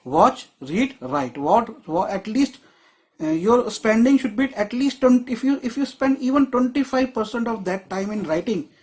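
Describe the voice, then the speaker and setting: A man's voice: very high voice, animated, normal speed. A man teaching a screenwriting class on a video call, explaining in a loose, rambling way with many restarts. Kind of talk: live online class.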